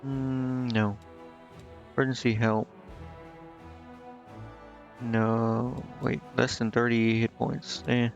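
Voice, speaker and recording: A man streaming a video game, casually thinking out loud and reading menu text to himself, with some offhand swearing. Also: mid-range voice, little pitch movement, slow, creaky, pauses often, highs cut off, soft background music. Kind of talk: casual live gameplay commentary, muttering and reading menu text aloud.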